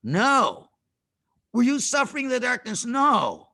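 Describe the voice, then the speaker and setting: A man voicing a calm, direct Moses who questions plainly, in a children's Bible story. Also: very high-pitched, takes it slowly, heavily acted. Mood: calm challenging.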